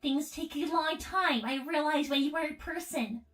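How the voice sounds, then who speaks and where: A woman playing a gentle robot girl new to being a person, speaking in stilted, precise, formal phrases. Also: high-pitched, moderate pace, faint music behind. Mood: calm, earnest.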